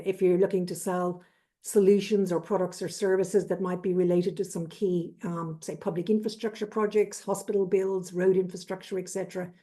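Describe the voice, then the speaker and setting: Brisk Irish businesswoman, low mellow voice. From Ireland. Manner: brisk and businesslike, lists with "et cetera". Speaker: trade consultant presenting. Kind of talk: webinar presentation. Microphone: video call.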